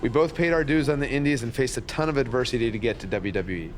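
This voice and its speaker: A man plays a wrestling show boss in a video game cutscene. He is friendly but firm and mentoring, with a medium-pitched, plain-spoken delivery over faint background noise. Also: fast, natural voice. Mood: firm, welcoming.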